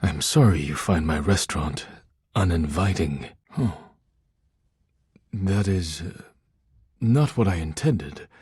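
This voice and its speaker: A man voicing a solemn, proud, stern Japanese omakase chef in a video game, speaking in formal, measured phrases. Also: low voice, expressive, a little mellow, husky, dry recording, full character voice. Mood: wounded, restrained. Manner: formal, measured, clipped phrasing without contractions. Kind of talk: scripted video game character dialogue.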